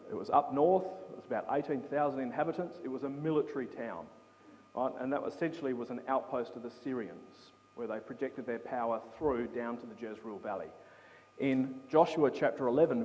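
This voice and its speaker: A man gives an interactive Bible talk to a congregation in a mid-pitched voice, chatty and informal. He keeps checking in with his listeners. The hall leaves his voice with a long, ringing reverb. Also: a little mellow. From England. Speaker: preacher. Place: church hall.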